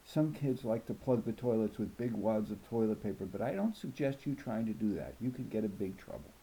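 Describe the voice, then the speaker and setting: Man reading as a cocky, mellow-voiced mischievous schoolboy. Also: on the low side, animated, brisk, poor audio. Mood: cocky, amused. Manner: boastful, matter-of-fact, jokey. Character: cocky, mischievous fifth-grade boy telling his story. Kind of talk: first-person children's novel narration.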